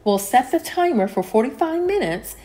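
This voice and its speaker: A woman narrating a home cleaning vlog as a calm, scripted voice-over, steady and homey, with lines that fall at the end. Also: high voice, moderate pace. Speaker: cleaning vlogger.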